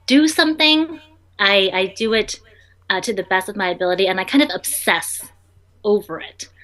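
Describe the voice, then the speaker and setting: A woman's voice: medium pitch, bright, forceful tone, rough recording. Chatty woman entrepreneur with many ums. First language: Vietnamese. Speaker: interview guest, entrepreneur. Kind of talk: casual interview answers.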